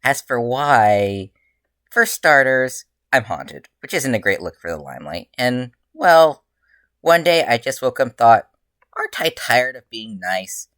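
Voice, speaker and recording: A bored, mischievous grown-up male hero , put on by a story reader, airing grievances with theatrical emphasis and drawn-out words. He sounds exasperated and a little unhinged, in a dry audiobook-style reading. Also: mid-range voice, sing-song, a bit bright, dry recording. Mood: wry, playful.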